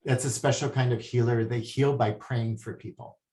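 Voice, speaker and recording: A man from Hawaii, a guest speaking about healing in a soft, hesitant way with many pauses. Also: mid-range voice, fairly even intonation. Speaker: guest, spiritual healer. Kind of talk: casual interview talk. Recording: echoey room.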